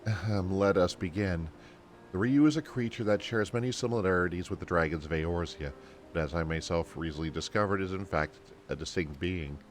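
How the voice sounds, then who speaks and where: A man's voice: low-pitched, a little fast, slight background noise, natural voice. A man voicing an earnest female storyteller from a fantasy game, reading her dialogue in formal, archaic, kindly phrasing. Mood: solemn storytelling. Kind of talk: game dialogue read aloud.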